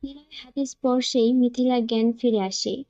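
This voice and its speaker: A woman's voice: a little high, fairly even intonation, moderate pace, warm tone, highs cut off. A woman narrator reading a scripted romance story.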